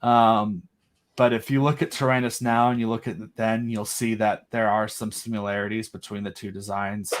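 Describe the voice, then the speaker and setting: A man's voice: fairly low pitch, little pitch movement, normal speed. A man as an interview guest, eagerly explaining his own creative project in casual, rambling chat. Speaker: guest, creator of an audio drama.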